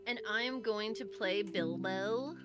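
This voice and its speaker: A woman's voice: medium pitch, unhurried, music in the background. A woman chatting casually and giggling often while playing a card game. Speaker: player. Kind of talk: casual gameplay chat.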